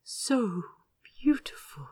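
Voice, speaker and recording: A woman voicing a gentle, adoring male prince in a fantasy romance audiobook, in a soft, whispery murmur. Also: a little high, big pitch swings, takes it slowly, breathy edge, no echo, pitched above the performer's own voice, full character voice. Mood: awed adoration.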